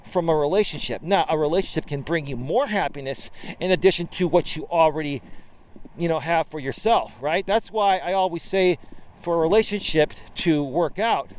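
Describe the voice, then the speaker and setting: A man in his forties with a smooth, clear voice, pitched a little higher than most men. He talks like a coach, emphatic and driving, and keeps checking in with "right?" as he walks a trail outdoors. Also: normal speed. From Bay Area, California. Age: 40s. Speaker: dating coach. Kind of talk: walking vlog monologue.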